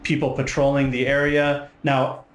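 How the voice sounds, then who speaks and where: A man runs a tabletop role-playing game, describing scenes in casual speech. His voice sits at an ordinary pitch and carries a clear room echo. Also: monotone, normal speed, slight background noise. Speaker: game master. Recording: echoey room.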